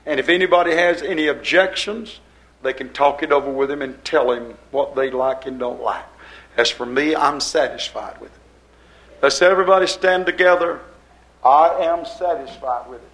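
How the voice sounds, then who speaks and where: An older man preaches to his congregation in a folksy, meandering way, circling back to the same phrases again and again. His voice is gravelly, sitting a touch higher than most men's. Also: normal speed, a bit bright, rough recording. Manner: folksy, rambling preaching with repetition. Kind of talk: sermon. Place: church service before a congregation.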